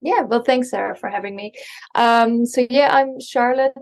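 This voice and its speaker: A woman's voice: a little high, normal speed, creaky. A woman in her thirties from the Netherlands, a guest chatting casually with lots of ums and restarts. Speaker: guest, marketing strategist. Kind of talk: casual conversation. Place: co-working space.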